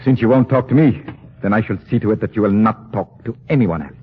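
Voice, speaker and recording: An actor playing a cold, condescending villainous scientist in a 1940s radio drama, mock-polite and sinister. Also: male voice, fairly low pitch, quick pace, lightly acted. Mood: coldly menacing. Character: cold, sinister male mad-scientist professor. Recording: muffled, hissy old radio recording.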